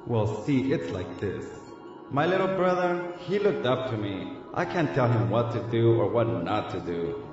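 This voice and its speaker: A man's voice: mid-range voice, lively intonation, a little slow, close to an everyday voice. A man acting in an audio drama as a dead young street tough, a defensive, regretful big brother talking in slang with Spanish touches. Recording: muffled, echoey lo-fi audio drama recording. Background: loud background music.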